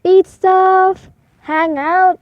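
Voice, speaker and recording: A woman's voice: very high voice, steady tone, very slow, dry recording, muffled recording, voice pushed up, exaggerated voice. A woman voicing a languid, unsure male bat in a storybook, hesitant and sparing with words. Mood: laconic, unsure.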